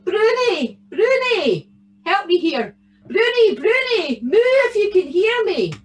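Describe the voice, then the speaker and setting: A woman with a Scottish accent voicing a stubborn, devoted young farm boy in a children's story, earnest and loud. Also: extremely high pitch, very animated, slow pace, voice pushed up, full character voice. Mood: urgent calling. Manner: earnest, calling out loudly.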